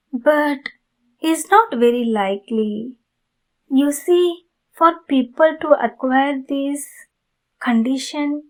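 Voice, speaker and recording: A woman playing a courteous, earnest female vampire in an ASMR roleplay, speaking formally to the listener with halting phrasing. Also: sits high, a little mellow, low-quality recording. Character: polite, pleading female vampire in need of blood.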